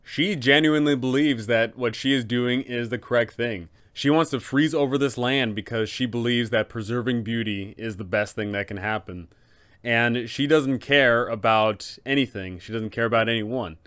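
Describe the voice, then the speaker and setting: A man's voice: medium pitch, moderate pace, a bit bright, clear, resonant tone. A man hosting an explanatory commentary as a game master, with emphatic, dramatic storyteller phrasing. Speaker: host, tabletop game master giving advice. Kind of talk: explanatory video commentary on role-playing villains.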